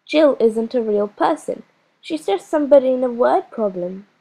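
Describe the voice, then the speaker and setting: A woman's voice: sits high, expressive, normal speed, mellow. A woman reading a storybook, voicing a female schoolteacher who gives firm, clipped instructions as her patience wears thin. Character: strained, patient-but-fraying female schoolteacher. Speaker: teacher.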